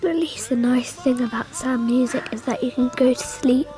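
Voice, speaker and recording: Female performer: small girl narrating a radio play, high voice, literal-minded, hopeful, simple childlike telling. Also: moderate pace, mellow, faint music behind, natural voice. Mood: wistful. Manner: simple, artless, matter-of-fact childlike telling. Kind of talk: first-person radio drama narration.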